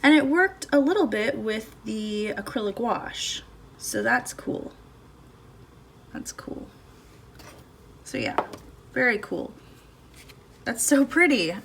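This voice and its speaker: A woman's voice: a little high, lively intonation, slow pace, vocal fry, pauses often, a bit bright. A woman hosting a casual painting video, chatting and thinking aloud as she works at her table, recorded on a phone in her home studio. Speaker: art channel host. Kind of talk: casual painting demo chat. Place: home art studio, indoors. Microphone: phone camera above the table, no external mic. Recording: phone audio with faint background noise. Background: faint street and household noise.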